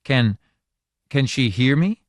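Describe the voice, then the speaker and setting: A man's voice: medium pitch, expressive, slow, no echo, pitched above the performer's own voice. A man voicing a nervous young husband who pleads and frets, read dry and close. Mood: desperate. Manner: nervous, imploring.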